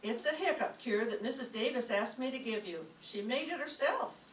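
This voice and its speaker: A woman playing a wry, sardonic high school teacher in an old-time radio comedy, with wisecracking delivery. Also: lively intonation, normal speed, a bit bright. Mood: brisk. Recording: echoey stage, distant mic, faint noise.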